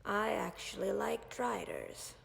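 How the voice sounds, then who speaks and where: A calm, caring female dragon, voiced by a woman, speaks in a deeply gravelly, fry-laden voice. She talks very slowly and softly, often dropping close to a whisper. Her words trail off into a long, lingering reverb. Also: full character voice. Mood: calm, tender. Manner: soft, measured, often near-whispered. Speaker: voice actor in audio roleplay. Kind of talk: audio roleplay for a listener.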